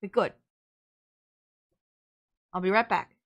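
A woman's voice: mid-range voice, steady tone, slow, fairly bright tone. A woman playing a sardonic yet caring female villain in an audio roleplay, blunt, teasing and exasperated. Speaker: voice actor. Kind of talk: audio roleplay drama, intimate one-sided dialogue.

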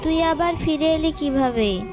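A woman's voice: sits high, measured pace. A woman as a panicky guilty stepmother. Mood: frightened. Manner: panicky, pleading cries. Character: guilty stepmother, frightened and pleading. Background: background music.

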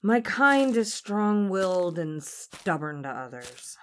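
A woman in an audio drama playing a proud, guarded demon princess with a solemn, formal, measured manner. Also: lowish voice, sing-song, slight background noise. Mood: stern, matter-of-fact.

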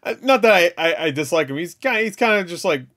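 A man's voice: high-pitched, animated, fast, fairly bright tone. A man hosting a reaction video with casual, joking commentary, irreverent and slangy with some swearing.

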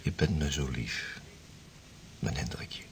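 An actor playing an ardent young sea explorer, tender with his betrothed, in radio drama with a husky, impassioned delivery. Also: a bass voice, fairly even intonation, takes it slowly, whispered. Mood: amused, tender. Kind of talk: Dutch radio drama acting.

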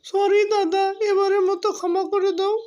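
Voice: very high voice, level tone, moderate pace, a little mellow. A performer voicing a young fox, a pleading, whiny chicken thief, in a cartoon. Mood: pleading, sorry. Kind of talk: cartoon voice acting.

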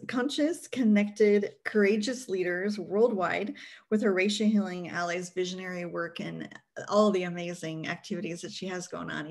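A woman in her forties hosting an online panel from a small home room over a video call, speaking in an upbeat, effusive way. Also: fairly low pitch. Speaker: host.

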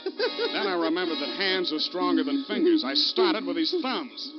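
An actor playing a tough, wry, suspicious private detective, clipped and sardonic in a radio noir drama. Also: male voice, fairly high pitch, sing-song, normal speed. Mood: tense, urgent. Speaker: detective narrating his case. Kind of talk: 1940s radio noir narration and dialogue. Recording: muffled old radio, loud music behind.

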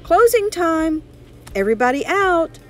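Woman as a brisk, high-pitched shop manager. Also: wildly swooping pitch, a little mellow, faint music behind. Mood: loud, brisk. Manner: calling out, obliging. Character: laundromat manager, brisk, courteous, helpful.

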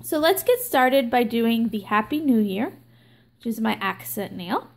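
A young woman hosting her own nail art channel, explaining a stamping tutorial slowly and with many ums. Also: fairly high pitch, sing-song. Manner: slow, filler-heavy explaining with many ums. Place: indoors at a table, hands filmed from above.

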